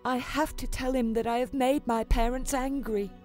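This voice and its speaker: A woman's voice: high-pitched, fairly even intonation, moderate pace, a little mellow, lightly acted. A woman playing an earnest, determined young noblewoman with dramatic delivery in a story drama. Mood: earnest, resolute. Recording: music under the voice.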